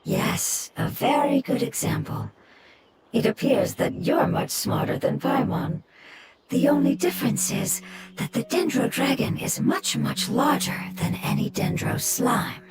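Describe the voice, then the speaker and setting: A woman voicing a wise, gentle old fungus creature in a fantasy game, with breathy, formal phrasing. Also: sits high, animated, a little slow, heavy background noise. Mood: calm, observant. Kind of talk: fantasy video game dialogue. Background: prominent background music.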